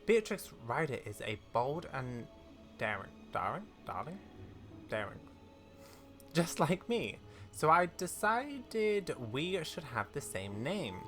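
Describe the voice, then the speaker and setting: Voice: mid-range voice, sing-song, slow, fairly bright tone. A gamer voicing a bold, quirky, self-important female talking horse from a video game, in a proud, theatrical manner. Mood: proud, whimsical. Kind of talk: read-aloud video game dialogue. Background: game music.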